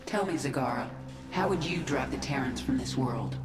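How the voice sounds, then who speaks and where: Low, commanding swarm queen. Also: lively intonation, echoey room, music in the background. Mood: cold, controlled. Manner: cold, imperious, deliberate. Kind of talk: video game cutscene acting.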